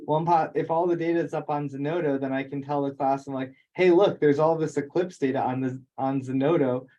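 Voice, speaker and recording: Mellow man on a muffled call. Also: fairly high pitch, steady tone, a little fast. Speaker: university teacher on a teleconference. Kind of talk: casual meeting discussion. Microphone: remote teleconference call. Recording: dull conference-call audio.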